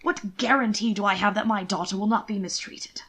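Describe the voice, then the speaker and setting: A woman's voice: medium pitch, slightly rough. A woman voicing a middle-aged male earl in a period audiobook, blustering and indignant, with emphatic delivery. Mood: wary. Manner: loud, emphatic, slow deliberate outrage.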